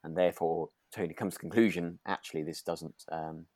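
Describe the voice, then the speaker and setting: Low-voiced man in hesitant, casual chat. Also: fairly even intonation, a little fast, a little mellow, no room sound. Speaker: podcast co-host. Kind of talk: relaxed casual discussion of a radio drama.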